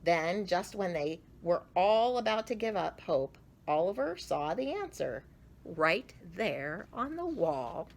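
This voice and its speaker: A woman reading a picture book aloud to children, expressively, with drawn-out emphasis. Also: lowish voice, sing-song. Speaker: children's storyteller.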